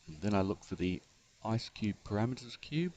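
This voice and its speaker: A man giving an unscripted software tutorial, explaining step by step in a halting way as the developer. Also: lowish voice, sing-song, dull audio. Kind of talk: unscripted screencast tutorial narration.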